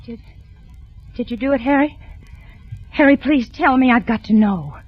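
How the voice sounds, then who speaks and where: High-pitched desperate wife, vintage radio. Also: female voice, normal speed, husky. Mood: anxious. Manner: pleading, emotional, repeats herself. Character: desperate, guilt-ridden wife and mother, pleading, fragile. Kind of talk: 1940s radio melodrama acting. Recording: muffled old radio broadcast, loud music behind.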